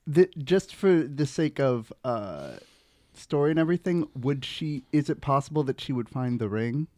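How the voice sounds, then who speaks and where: Male tabletop player, middle-pitched and dryly recorded, casual and slightly hesitant improvised roleplay. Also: lively intonation, moderate pace, a little mellow, no echo, clean studio sound.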